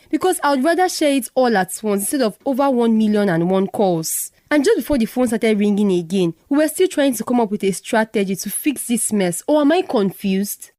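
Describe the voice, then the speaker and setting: Actress as exasperated Nigerian woman boss, high-pitched. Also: warm tone, no room sound, close to an everyday voice. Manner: sarcastic, challenging questions. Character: stressed, exasperated woman manager at an embattled company. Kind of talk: radio drama acting.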